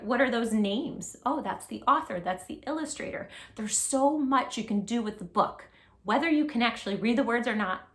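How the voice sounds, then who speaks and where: Animated woman reading a picture book aloud. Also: a little high, roomy sound. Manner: animated, sing-song, talks to children with questions. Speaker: children's book reader. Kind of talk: read-aloud with tips for parents. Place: home room with posters.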